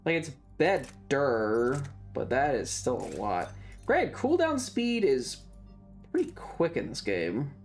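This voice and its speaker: A woman's voice: extremely low pitch, very animated, slow, a little mellow, soft background music. A woman giving casual gameplay commentary as a streamer, offhand and thinking aloud. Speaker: game streamer.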